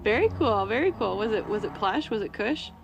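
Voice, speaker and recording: A woman's voice: medium pitch, animated, a little fast, vocal fry, dull audio, background noise. Cheery lady radio host, laughs a lot, repeats stuff, studio mic. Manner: upbeat, repeats phrases, laughs often. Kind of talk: casual radio chat.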